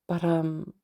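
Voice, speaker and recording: A woman playing a teasing, flirtatious, unhinged patient in a roleplay audio drama, rambling hesitantly with many pauses and hums. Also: low-pitched, dark tone, breathy edge, exaggerated voice. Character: teasing, rambling, flirtatious crazy female patient confessing to her doctor. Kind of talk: roleplay audio drama, intimate one-sided conversation.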